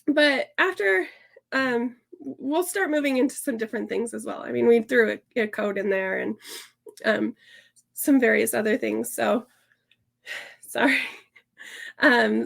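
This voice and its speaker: A woman hosting a casual sewing tutorial, her voice hoarse and stuffy from a sinus infection, chatting upbeat with lots of fillers and restarts. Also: a little high. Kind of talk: casual sew-along tutorial chat.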